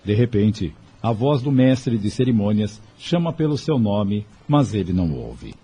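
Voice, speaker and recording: Mellow low-voiced male narrator. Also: sing-song, measured pace, breathy edge. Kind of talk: scripted audio drama narration. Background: music.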